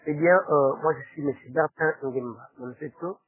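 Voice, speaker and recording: A man from DR Congo with a Congolese accent and a husky voice, answering formally as a spokesman, hesitantly and with frequent tag questions. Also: on the high side, moderate pace. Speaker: interview guest, foundation spokesman. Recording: roomy, tinny phone-like sound.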